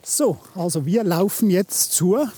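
A man in his fifties from England, Uganda and Munich, talking casually and haltingly as a podcast guest while walking outdoors. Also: high-pitched, lively intonation, mellow, breathy edge. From born in England, raised in Uganda and Munich. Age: 50s. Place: outdoors on a hike in the Swiss mountains.

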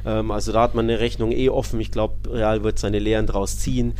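A man's voice: lowish voice, level tone, fairly quick, a little mellow, faint music behind. A man co-hosting a football podcast, giving his views in casual conversation.

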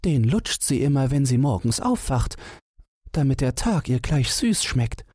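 A man's voice: mid-range voice, sing-song, measured pace, a little mellow, slightly rough, no room sound, clean studio sound, voice pushed up. A man voicing a timid little female mouse who pleads and begs in a storybook reading. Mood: pleading. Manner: pleading, plaintive.

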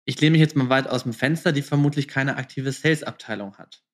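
A man's voice: mid-range voice, fast talker. A man with a mid-range voice hosts a casual interview podcast. He talks informally and conversationally, sprinkling in English start-up buzzwords. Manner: informal, peppers speech with English start-up jargon.

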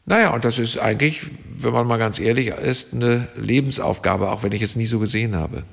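Gravelly-voiced German man, reflective. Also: mid-range voice, fairly quick, big-room sound. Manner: reflective, candid storytelling. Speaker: estate owner, farmer and former journalist. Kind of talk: conversational interview answers.

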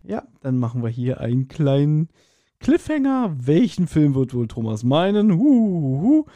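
A man's voice: a little high, sing-song, normal speed, mellow, no echo. A man co-hosting a podcast in casual chat, with a joking, colloquial way of talking. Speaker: podcast co-host.

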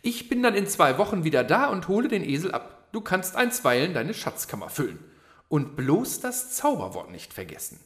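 An actor playing a rich, breezy and generous royal brother in a fairy-tale radio play, with a theatrical, exclamatory delivery. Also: male voice, a little high, big pitch swings, normal speed, fairly bright tone. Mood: showy, instructing.

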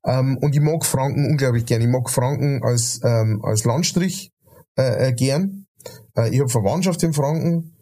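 A man's voice: medium pitch, moderate pace, dark tone. A Bavarian man co-hosting a podcast in casual chat, rambling with lots of fillers and restarts. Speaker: podcast co-host.

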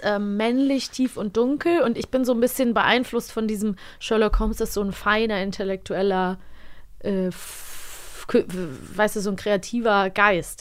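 A woman co-hosts a casual culture podcast. Her voice sits at a medium pitch, and she talks expressively, breaking off and rewording her thoughts as she goes. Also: steady tone, normal speed. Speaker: podcast co-host. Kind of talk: casual chat about radio plays.

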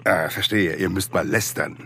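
Good-natured older boss. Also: male voice, low voice, moderate pace, pushed and bright, slight background noise. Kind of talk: comic audio drama acting.

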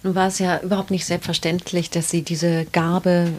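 A woman interviewing a guest about literature, putting prepared questions in measured, articulate phrases. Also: lowish voice, level tone.

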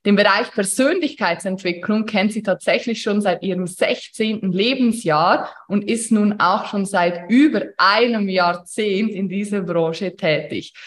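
A woman's voice: mid-range voice, fairly bright tone. Woman hosting an interview podcast.

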